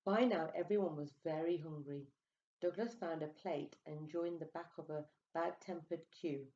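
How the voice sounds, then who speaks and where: A woman reading a picture book aloud to young children, expressive and coaxing, with little questioning asides. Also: low voice, moderate pace, lo-fi sound. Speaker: storyteller for preschool children.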